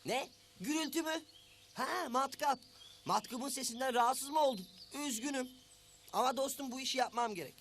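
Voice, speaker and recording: Very high-voiced, friendly cartoon animal. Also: male voice, moderate pace, fairly bright tone, a little hiss or hum. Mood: puzzled, apologetic. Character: hardworking, friendly handy animal with a drill. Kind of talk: children's cartoon voice acting.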